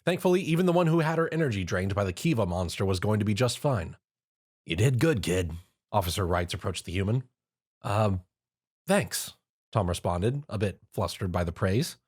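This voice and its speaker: Husky man reading a story aloud. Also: on the low side, fast talker, few pauses. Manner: lively, expressive narration. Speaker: reader. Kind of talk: fan fiction reading.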